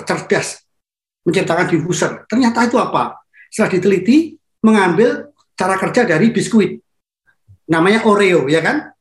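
A man's voice: sits high, roomy sound. A man with a nasal, stuffed-up voice co-hosting a casual motor racing chat, playful and full of puns, speaking from a hotel room. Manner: playful, punning, chuckles often. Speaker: podcast co-host.

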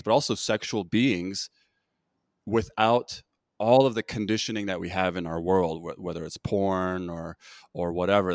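Male host, very low voice, loose rambling talk, dry close sound. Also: no echo. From United States. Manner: rambling, many restarts and fillers. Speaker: podcast host. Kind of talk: casual interview chat.

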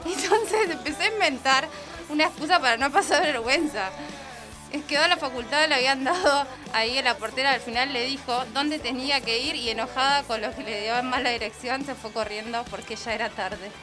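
A young adult woman with an Argentine accent and a slightly shrill voice, chatting casually as a radio co-host relaying messages from listeners. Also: fairly quick. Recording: music playing behind the voice. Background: music.

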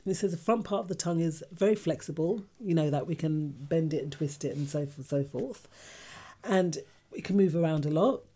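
A woman's voice: sits low, fairly quick, warm tone, slightly rough. A woman hosting a radio chat show, talking casually and reading out facts.

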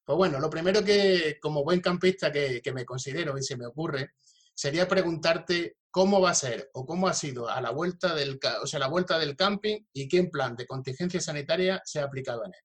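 A man's voice: a little high, a little fast. A man from Andalusia hosting a podcast interview in a friendly, chatty way, often restarting his sentences. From Andalusia, Spain (Jaén). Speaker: podcast host.

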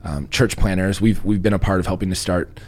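A very deep, gravelly male voice, a little husky and stuffy from a lingering cold. He talks casually and tells stories with a dry, joking streak. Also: a little fast. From Texas. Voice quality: raspy, deep. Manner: joking, storytelling. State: congested from a cold. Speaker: podcast guest, church leader. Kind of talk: casual podcast conversation.

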